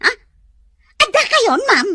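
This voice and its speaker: A woman acting a cheeky, giggly housemaid in a radio drama, exclamatory and animated. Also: very high voice, dry recording, studio quality, exaggerated voice. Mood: flustered, amused. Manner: exclamatory, animated, drawn-out delivery. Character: cheeky, giggly housemaid teasing her boss.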